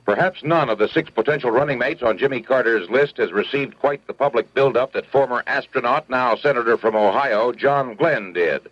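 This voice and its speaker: A male correspondent delivering a scripted radio news report in a crisp, formal way. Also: mid-range voice, moderate pace, hardly any pauses, dry recording. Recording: dry, dull lo-fi radio audio.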